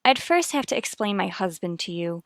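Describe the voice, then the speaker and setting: An actress performs a troubled, earnest mother from a vintage radio serial. Her woman's voice sits at a middle pitch in a very dry space. She confides carefully and hesitantly, choosing her words. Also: animated, normal speed, dry recording, close to an everyday voice. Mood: troubled, confiding. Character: worried, earnest young mother confiding about her son. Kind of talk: vintage radio soap opera acting.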